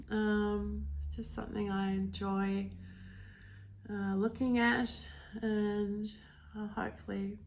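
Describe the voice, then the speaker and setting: A woman vlogger, nasal and congested from hay fever, casually showing off her thrift-shop finds in a gentle, unhurried way with frequent ums. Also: medium pitch, vocal fry.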